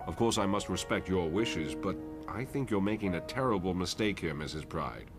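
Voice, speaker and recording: An actor playing a composed, idealistic professor who lectures in a formal, measured way in a motion comic. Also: male voice, low-pitched, lively intonation. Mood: measured, persuasive. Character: composed, idealistic male professor leading a mutant school. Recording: loud music behind.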